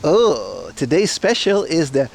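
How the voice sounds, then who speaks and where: A man's voice: a little high, very animated, takes it slowly, gravelly, slight background noise. A man chatting casually on a walking livestream, reading chat aloud and joking with mock outrage. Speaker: IRL streamer. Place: outdoors on city streets.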